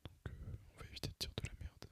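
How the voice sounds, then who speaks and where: Intensely whispering young Frenchman. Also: quick pace. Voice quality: whispered, unvoiced. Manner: relaxed, slangy, hesitant. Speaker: ASMR gaming creator. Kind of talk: ASMR, casual rambling chat over gameplay.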